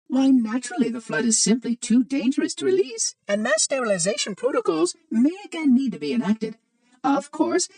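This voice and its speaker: A man's voice: extremely high pitch, a little mellow, no room sound, far from the mic. A man playing a prim, fussy, ancient robot monitor in a video game, speaking in a formal, precise, oddly cheery way with light robotic processing. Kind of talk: video game character acting.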